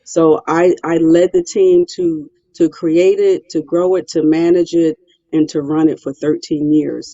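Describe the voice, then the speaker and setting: Casting: middle-aged woman from Philly, warm and mellow, confident interview guest, dull remote audio. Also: low voice, vocal fry, no echo. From Philadelphia, Pennsylvania. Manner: emphatic, self-assured, repeats words. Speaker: guest, real estate investor. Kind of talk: interview answers.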